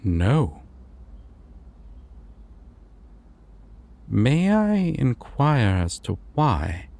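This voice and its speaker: Man acting as slow, courtly ancient dream god. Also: lowish voice, wildly swooping pitch, gravelly, darkish tone, full character voice. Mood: patient, persuasive. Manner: formal, theatrical, unhurried with deliberate pauses. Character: ancient male god of dreams, courteous, composed, world-weary. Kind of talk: scripted audio roleplay addressed to the listener.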